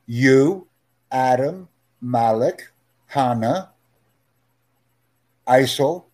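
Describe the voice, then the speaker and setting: Slow, emphatic male tutor. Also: mid-range voice, darkish tone. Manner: speaks slowly and clearly, friendly, emphatic repetition. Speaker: English tutor. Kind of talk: slow, clear teaching talk.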